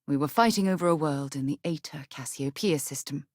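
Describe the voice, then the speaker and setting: An actress playing a composed, articulate British woman space explorer in a video game, earnest and caring, with a measured, proper way of talking. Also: deep voice, darkish tone, no echo, studio quality. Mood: matter-of-fact. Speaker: video game companion character. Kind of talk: acted video game dialogue.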